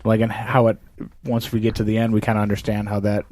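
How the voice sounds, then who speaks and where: A man's voice: fairly low pitch, flat delivery, fast talker, darkish tone, no room sound. A man hosting a casual podcast chat, joking and steering the talk with questions. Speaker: podcast host.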